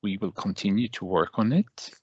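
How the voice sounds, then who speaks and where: A man narrating a step-by-step software tutorial as a trainer, with halting, deliberate phrasing and hesitant pauses. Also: lowish voice, husky, muffled recording.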